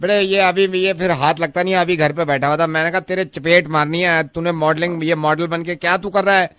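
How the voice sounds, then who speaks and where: A man making comic radio prank calls, insistent and wordy, keeping a polite, deadpan manner. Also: fairly high pitch, fairly quick, clean tone. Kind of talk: comic prank calls in assumed everyday characters.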